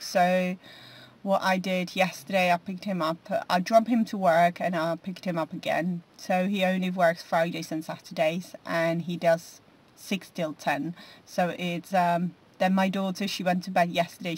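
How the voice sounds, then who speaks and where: A woman in her forties chatting casually to camera from inside a parked car, rambling with many fillers and restarts. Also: lowish voice. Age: 40s. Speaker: vlogger. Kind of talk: casual food diary chat.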